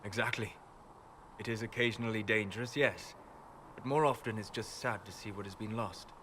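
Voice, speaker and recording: A man acts an erudite, gently ironic mage from a fantasy game. The voice is fairly low, with measured, wistful phrasing. A faint bed of ambient noise sits underneath. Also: lightly acted. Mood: reflective. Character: calm, scholarly male mage who wanders dreams, gently ironic. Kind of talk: video game dialogue acting.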